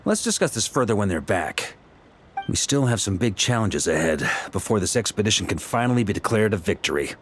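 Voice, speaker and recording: A man's voice: lowish voice, big pitch swings, a little fast, noisy background. An actor playing a seasoned, easygoing knight commander in a video game, confident and conversational as he explains. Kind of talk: video game character acting.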